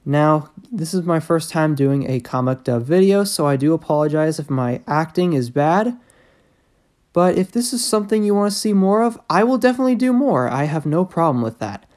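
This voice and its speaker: A woman's voice: low voice, expressive, moderate pace, a little mellow. A woman video creator giving a casual, scripted introduction to viewers as host. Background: background music.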